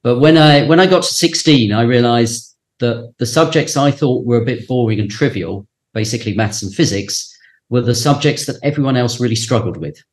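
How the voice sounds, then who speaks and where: A man's voice: mid-range voice, moderate pace. A middle-aged man with a southern English accent, answering conversationally as a professor being interviewed. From southern England. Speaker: interview guest, university professor.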